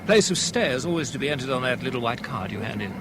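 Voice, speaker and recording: A man's voice: mid-range voice, very animated, fast talker, noisy background, natural voice. An actor playing an affable, worldly British airline man in a 1970s radio drama, breezy, jocular and colloquial. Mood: wry, helpful. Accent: British English.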